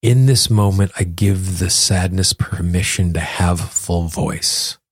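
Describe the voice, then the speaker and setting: Male self-help coach and host, lower register, conversational and instructive, dry recording. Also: slow pace, breathy edge, dry recording. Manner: explanatory, instructing the listener directly. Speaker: podcast host and tapping coach. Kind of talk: conversational self-help talk and guided tapping exercise.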